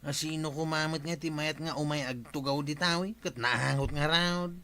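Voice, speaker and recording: An earnest young villager in an Ilocano radio comedy. His voice sits a little high, with an Ilocano accent and a pressing manner. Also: steady tone, normal speed, bright, forceful tone. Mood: concerned.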